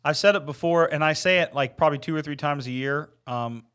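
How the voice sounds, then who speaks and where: A man's voice: fairly high pitch, brisk, a bit bright, clear, resonant tone. A man chatting informally on a podcast, telling rambling anecdotes. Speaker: podcast co-host. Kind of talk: casual chat, anecdotes.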